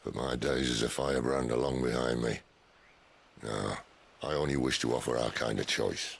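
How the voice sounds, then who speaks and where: A man's voice: extremely low pitch, fairly even intonation, moderate pace, creaky, breathy edge, exaggerated voice. An actor voicing a wry, world-weary male outlaw leader, talking in a dry, teasing way. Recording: game cutscene with ambient noise.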